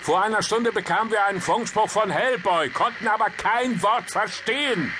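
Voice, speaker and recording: An actor playing an exasperated agency chief in a German radio play, brisk and businesslike. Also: male voice, sits high, noisy background, lightly acted. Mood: tense, testy. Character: exasperated male agency chief taking a call.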